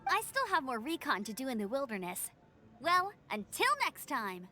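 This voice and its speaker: A bright, peppy young scout. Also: female voice, sits high, very animated, normal speed, slight background noise, exaggerated voice. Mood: cheerful. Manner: upbeat, easygoing. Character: cheerful young female scout, friendly, energetic. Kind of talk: video game dialogue.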